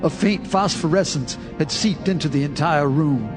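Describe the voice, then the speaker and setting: An actor playing an old American farmer, bitter and unhinged, telling of horrors in a folksy, intense audio drama style. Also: male voice, a little high, normal speed, music in the background, lightly acted. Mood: urgent dread. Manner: folksy, intense storytelling with theatrical swells.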